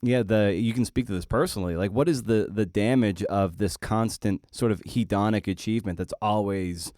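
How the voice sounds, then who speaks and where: A man hosting a podcast interview, casually feeling his way through questions with halting, restarted phrasing. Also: fairly low pitch, a little mellow, dry recording, clean studio sound. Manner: halting, searching phrasing with repeated starts.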